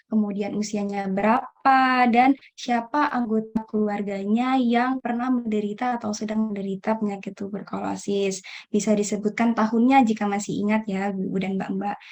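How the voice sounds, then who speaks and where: A woman's voice: on the high side, a little mellow, dull audio. A young woman moderating a group discussion, courteously calling on each participant in turn. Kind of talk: polite formal moderating.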